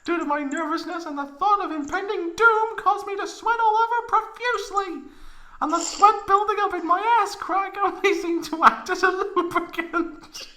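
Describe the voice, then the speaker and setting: High-pitched young woman acted by a woman, bright voice, proud, melodramatic, overwrought, giggle-prone, comedic fanfic reading. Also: moderate pace. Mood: anguished, overwrought. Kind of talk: dramatic comedic fan fiction reading.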